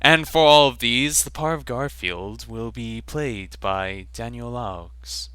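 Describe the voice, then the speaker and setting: A man narrating an amateur fan fiction reading in a slow, amused, deadpan way. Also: medium pitch, clean tone, dry recording.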